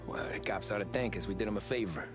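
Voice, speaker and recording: An actor playing a plainspoken, somewhat wary young mob recruit in a video game cutscene. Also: male voice, on the low side, lively intonation, quick pace, over music, lightly acted.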